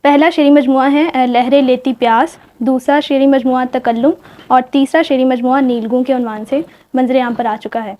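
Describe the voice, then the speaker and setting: A woman's voice: sits high, steady tone, moderate pace, a little mellow. A Pakistani woman co-hosting a literary programme in a polite, formal, deferential style. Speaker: co-host.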